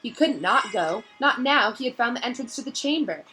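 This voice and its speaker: Bright-voiced woman reading a story aloud. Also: on the high side, expressive, brisk, faint music behind. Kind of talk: expressive read-aloud narration.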